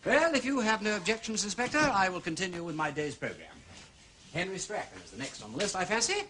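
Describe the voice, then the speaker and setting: An actor playing a jaunty, quick-witted gentleman sleuth with an upper-class English accent and breezy, theatrical phrasing in a period detective drama. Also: high voice, very animated, noisy background.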